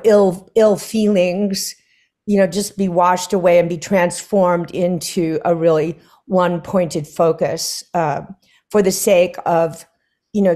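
An older woman, a singer, chatting over a video call from her living room and telling lively stories with many fillers. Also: on the low side. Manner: animated storyteller, many fillers. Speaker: guest singer. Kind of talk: casual conversation.

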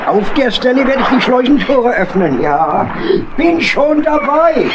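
Toll-taking lock-keeper, very high pitch, children's radio play, matter-of-fact. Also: male voice, big pitch swings, a little slow, background noise, exaggerated voice. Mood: businesslike. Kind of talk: children's audio drama acting.

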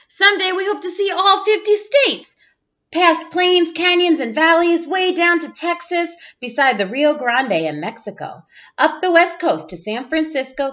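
Animated, high-voiced woman reading a picture book. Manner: expressive, playful exclamations and sound effects. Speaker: teacher reading aloud. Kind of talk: children's picture book read-aloud. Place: small room at home, indoors. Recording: dull lo-fi webcam audio.

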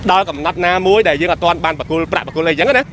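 Fast-talking man in a street interview. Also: high voice, fairly bright tone, a little hiss or hum. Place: roadside at night, outdoors.

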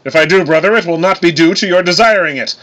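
Man acting a booming, pompous thunder god, pitched high. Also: fast, fairly bright tone. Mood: contemptuous. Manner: pompous, archaic formal diction. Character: boastful, bellowing male thunder god.

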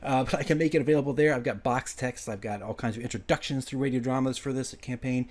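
Fast-talking man, casual and enthusiastic. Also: medium pitch, darkish tone. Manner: informal, enthusiastic, hurried. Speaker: game master making a prep video. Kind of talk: casual screen-share walkthrough. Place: indoors at a computer, voice-over.

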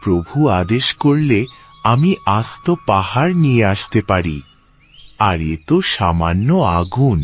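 A male actor as a powerful monkey god in a cartoon. He speaks low and very slowly, with proud, solemn devotion, over music. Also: expressive. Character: mighty, devout monkey god, boastful servant warrior.

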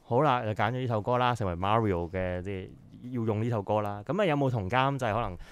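A male radio host with a Hong Kong Cantonese accent talks at a brisk clip into a studio microphone. His questions come quick and chatty, and the sound is close and dry. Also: on the low side, clean tone, no echo. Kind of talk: casual radio interview.

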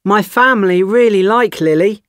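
A young man from England playing a student who introduces his family, reading slowly and clearly. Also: high-pitched, fairly bright tone, no room sound, studio quality. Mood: calm. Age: young adult. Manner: clear, measured, carefully paced. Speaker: textbook dialogue voice. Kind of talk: slow scripted textbook reading.

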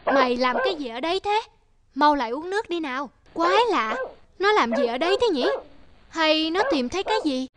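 A woman's voice: sits high, animated, fairly quick, a crowd in the background. A woman voicing a poor, kindly old farmer who talks fondly to his dog in a cartoon. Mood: curious. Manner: gentle, fond toward his dog. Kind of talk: cartoon voice acting. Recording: music playing underneath.

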